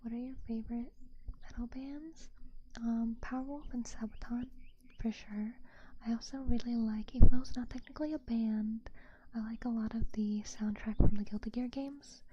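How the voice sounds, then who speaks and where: A woman streaming ASMR in a gentle, breathy whisper, chatting casually and unhurriedly, very close to the microphone. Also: a little high, fairly even intonation, normal speed, lo-fi sound, slight background noise. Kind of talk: ASMR, casual chat with live viewers. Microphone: binaural ear microphone close to the face.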